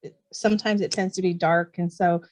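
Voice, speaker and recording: A woman's voice: on the low side, steady tone, brisk. A woman chatting casually and expressively as an author guest in an informal interview. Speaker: podcast guest, novelist and kindergarten teacher. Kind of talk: casual chat.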